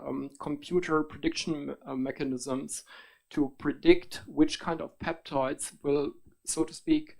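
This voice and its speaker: A middle-aged man with a German accent speaks in a gravelly, somewhat raised voice. He testifies formally before a committee. His delivery is halting and full of ums and uhs. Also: fairly high pitch, darkish tone, low-quality recording. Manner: hesitant, many ums and uhs, measured explanation. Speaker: testifying witness, biotech executive.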